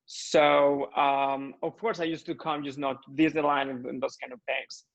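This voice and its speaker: A young man from Mexico with a Mexican accent, speaking English as a second language in an earnest interview chat, often pausing with fillers to find his words. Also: medium pitch, little pitch movement, creaky, highs cut off. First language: Spanish. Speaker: floral designer guest.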